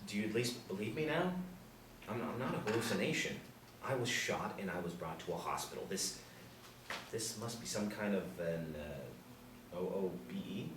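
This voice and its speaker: A man's voice: lowish voice, expressive, moderate pace, lightly acted. A man acting an awkward nerd, echoey room. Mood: earnest, confused. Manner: hesitant, stammering. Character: awkward, apologetic nerdy man, desperate for help. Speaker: actor at a screenplay table reading. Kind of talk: script table reading. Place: indoor room, seated. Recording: echoey room, distant mic, faint noise.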